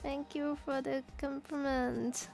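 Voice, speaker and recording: A woman from Hong Kong with a Cantonese accent, chatting casually on stream, halting and restarting as she searches for words. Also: high voice, flat delivery, creaky, a little mellow, faint music behind. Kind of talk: casual chat while drawing.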